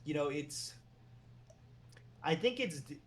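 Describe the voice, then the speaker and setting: A man from New York City, a former team general manager and agent, talking as a guest in a relaxed, meandering way with the odd chuckle. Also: a little high, slow. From New York City, USA. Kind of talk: casual interview conversation.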